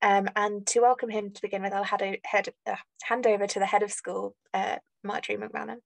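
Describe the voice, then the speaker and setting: A woman opens an online seminar with a short introduction. Her voice has a gravelly fry, and it sounds dry and close. She hesitates often, filling gaps with ums. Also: mid-range voice, flat delivery, brisk, no echo. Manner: hesitant, with many ums and a slip. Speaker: seminar organizer introducing the event. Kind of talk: brief event introduction. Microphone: computer mic on a video call.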